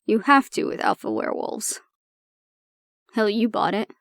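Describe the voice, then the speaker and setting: A woman playing an anxious young woman with a tough front and a soft core, confiding casually and with swearing in an intimate audio roleplay. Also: medium pitch, unhurried, gravelly, no echo, clean studio sound. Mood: wry, guarded.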